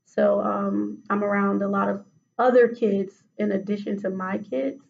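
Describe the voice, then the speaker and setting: A woman with a raspy voice answering questions as a patient in a role-played clinical interview, hesitant with many fillers and restarts. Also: mid-range voice, level tone, unhurried, darkish tone, muffled recording. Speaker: mock patient in a role-play case study.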